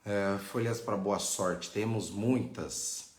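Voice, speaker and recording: A man's voice: fairly low pitch, slow. A Brazilian man teaching informally on a live stream, didactic and often checking that listeners follow. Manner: didactic, frequent checking tags. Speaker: religious teacher hosting a live online class. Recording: echoey, roomy sound.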